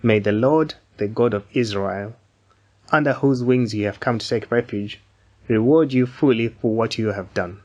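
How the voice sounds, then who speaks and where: A man playing a kindly, authoritative landowner in a dramatised Bible reading, speaking in a measured, formal way. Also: mid-range voice, darkish tone, lo-fi sound, lightly acted. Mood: solemn blessing. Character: Boaz, kindly wealthy landowner, authoritative and generous.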